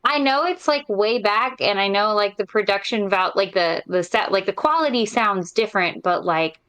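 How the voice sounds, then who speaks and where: A woman's voice: medium pitch, animated, normal speed, a bit bright, smooth. A woman on a podcast panel in casual chat, emphatic and given to swearing.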